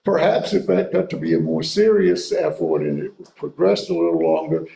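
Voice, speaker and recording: A man's voice: on the high side, big pitch swings, moderate pace, vocal fry, warm tone. A man giving a local history talk and answering audience questions, thoughtful and hedging as he speaks. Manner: thoughtful, hedging, restarts phrases. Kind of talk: lecture and audience Q&A. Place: library meeting room. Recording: echoey room, faint hiss.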